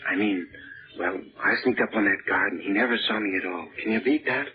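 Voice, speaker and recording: A man's voice: fairly low pitch, a little fast, slight background noise, natural voice. An actor playing a plucky, boastful American boy adventurer in a 1930s radio serial, with slangy, eager storytelling. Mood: eager boasting. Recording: muffled, tinny 1930s radio recording.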